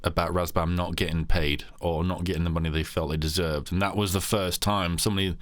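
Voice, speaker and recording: A man's voice: low-pitched, little pitch movement, a little fast, no echo. A man hosting a casual podcast talk, rambling with many fillers and restarts. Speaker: podcast host.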